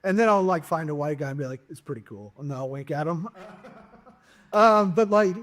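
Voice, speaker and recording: A man's voice: on the high side, lively intonation, brisk, fairly bright tone. A middle-aged man hosting a podcast interview, a stand-up comic chatting casually with many restarts and jokes. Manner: rambling, joking, lots of restarts.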